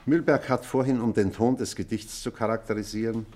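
An actor playing an old, authoritative schoolteacher with a measured, schoolmasterly manner in an acted drama. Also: male voice, lowish voice, fairly even intonation, darkish tone, natural voice. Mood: measured authority. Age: older. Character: deliberate, authoritative old schoolteacher leading a class. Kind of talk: acted radio-play dialogue.

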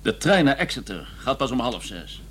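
An actor plays a prim, learned gentleman in a radio play, telling his tale and sparring in formal speech. His delivery is dry, sarcastic and exasperated, with animated rises and falls. A faint noisy hum sits on the recording. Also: mid-range voice, normal speed, close to an everyday voice. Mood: dry irritation. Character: prim, exasperated English scholar, a doctor, narrating his journey. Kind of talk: radio drama acting and first-person narration.

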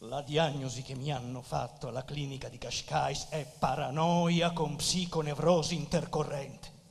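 Stage drama: grandiose, melancholy old sage and asylum inmate, raised pitch, archaic declamation, big echoing hall. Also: male voice, steady tone, a little slow, gravelly. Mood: earnest, plaintive. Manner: declamatory, archaic, solemn phrasing. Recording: reverberant theatre-like hall sound.

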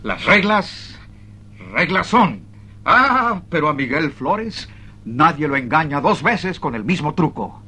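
A man acting a stubborn, exasperated railway freight agent in a theatrical, emphatic radio drama style, with a husky voice. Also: on the high side, very animated, takes it slowly, bright, forceful tone, exaggerated voice. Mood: defiant. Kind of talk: 1980s Spanish-language radio drama acting.

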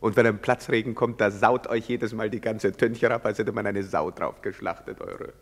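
An actor playing a leering, swaggering young soldier who mocks and taunts in a half-singing way. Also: male voice, on the low side, fairly even intonation, normal speed, natural voice. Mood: scornful. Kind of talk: stage play recording. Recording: long hall reverb.

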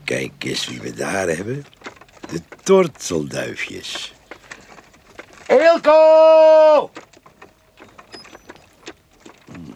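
An actor with a Netherlands Dutch accent playing a sly, sneering, lecherous village gossip in a radio play monologue. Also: male voice, sits low, a little mellow, heavily acted. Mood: mocking. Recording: radio play with clear background noise.

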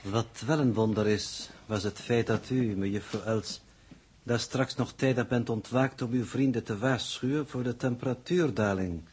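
An actor playing a formal, pedantic and condescending coordinator in a 1960s radio play, with stilted, precise phrasing. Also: male voice, mid-range voice, moderate pace, darkish tone, husky. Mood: coolly ironic.

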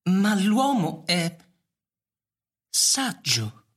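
An actor voicing an ancient, loyal robot butler in a radio drama, formal, deferential and wistful, speaking in a measured way. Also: medium pitch, very animated, slightly rough, heavily acted. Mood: earnest. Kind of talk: Italian radio theatre acting.

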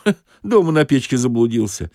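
A man's voice: mid-range voice, very animated, fairly quick, vocal fry, a little mellow, dry recording, clean studio sound, pitched above the performer's own voice. A man voicing a bewildered, lost peasant who exclaims in folksy speech in a satirical audiobook. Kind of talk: audiobook dramatization of a satirical story.

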